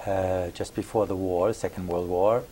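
A man in his sixties from New York City, telling stories about his life in a halting, good-humored way as an interview guest. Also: deep voice, fairly even intonation, mellow. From born in Belgium, raised in New York City. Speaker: interview guest, retiring music professor. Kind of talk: conversational reminiscing. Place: small cable TV studio. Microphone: lapel mic. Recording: noisy 2001 VHS studio recording.

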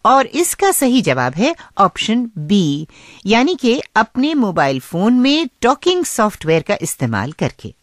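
A woman's voice: medium pitch, huge pitch swings, unhurried, no echo, studio quality. A woman hosting a radio show in a scripted but conversational style.

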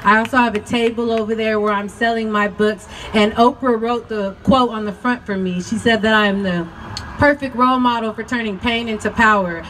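A woman's voice: fairly high pitch, steady tone, a little fast, fairly bright tone. Impassioned woman at outdoor rally. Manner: impassioned, leads call-and-response chants. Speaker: rally speaker. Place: outdoor street rally stage. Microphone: handheld mic on stand, filmed from afar. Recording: distant mic, crowd and street noise.

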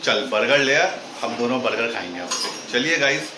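A man's voice: medium pitch, expressive, moderate pace. Chatty man vlogging on a phone. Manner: chatty, addresses viewers as guys, mixes English words. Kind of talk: casual food vlog to camera. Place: car, home and gym. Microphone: phone camera at arm's length. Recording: noticeable background noise.

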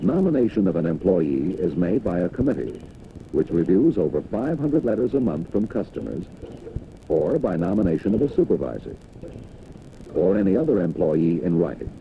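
An American man reading scripted narration for a 1960s corporate training film in a polished, measured announcer's delivery. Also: deep voice, very animated, a little hiss or hum. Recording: dull, dated 1960s film soundtrack.

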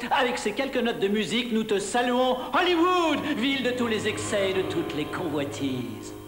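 An actor voicing an unctuous reptile lounge entertainer in a French cartoon dub, effusive, flowery and theatrical. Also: male voice, sits high, very animated, moderate pace. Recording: echoey hall reverb.

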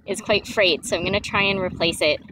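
A woman's voice: mid-range voice, fairly even intonation, a little fast. A young woman casually narrating a sailing vlog from the deck of a sailboat, chatty and laughing often as she explains. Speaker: sailing vlogger. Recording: noisy outdoor camera audio, boat and water. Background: boat engine and water noise.

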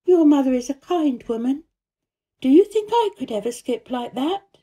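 A woman's voice: high voice, animated, moderate pace, warm tone, pitched above the performer's own voice. A woman voicing a stiff, curious, sheltered upper-class little girl in a storybook, formal and hesitant. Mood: excited.

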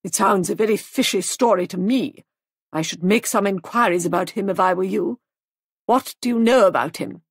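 A woman's voice: medium pitch, normal speed, breathy edge, dry recording. Woman playing a haughty, suspicious older lady guest. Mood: suspicious scorn. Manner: imperious, snorting, suspicious.

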